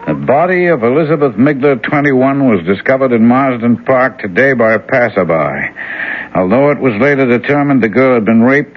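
A man's voice: medium pitch, natural voice. An actor playing a young man, the heroine's fiancé, talking in a blunt, irritated way in a 1970s radio drama. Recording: dull old radio broadcast.

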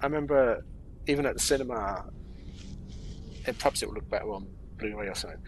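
A man's voice: on the low side, fast, a little hiss or hum, rough recording. A man co-hosting a podcast, chatting casually as he reacts and gives his opinions. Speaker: podcast co-host.

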